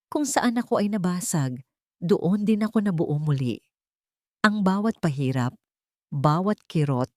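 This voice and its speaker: Woman reader playing resolute woman leader, Filipino accent, earnest formal delivery, hopeful, audiobook story, animated intonation. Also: on the low side, no room sound, clean studio sound. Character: determined, hopeful woman leader addressing her supporters. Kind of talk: audiobook character speech.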